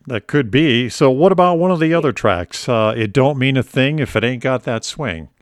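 Male interviewer, medium pitch, close dry sound. Conversational questions, polite, a touch hesitant. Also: animated, moderate pace, dry recording.

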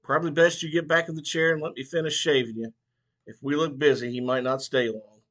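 A man's voice: a little high, steady tone, fairly quick, fairly bright tone, voice pushed up. A man voicing a cranky eighty-one-year-old male barber who teases bluntly in a folksy way. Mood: nervous. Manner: blunt, teasing, folksy drawl.

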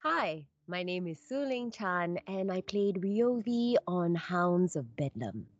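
A woman's voice: on the low side, very animated, slow pace, no echo. A woman, a voice actor, giving an earnest testimonial on a home studio microphone. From Malaysia. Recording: dull lo-fi home studio recording.

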